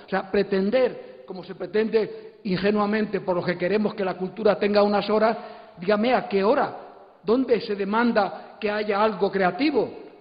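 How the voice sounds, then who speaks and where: A man with a high voice speaks on a congress panel in an indignant, insistent way, hammering points by repetition. The recording is dull, with the long reverb of a large hall. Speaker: congress speaker, graphic humour specialist. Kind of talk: impassioned opinion at a public panel.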